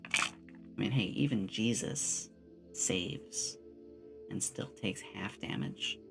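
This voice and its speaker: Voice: deep voice, takes it slowly, vocal fry, music in the background. A streamer chatting casually with viewers in an ASMR stream, rambling along like a storyteller. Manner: rambling storyteller, acts out short quotes. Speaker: streamer, VTuber host.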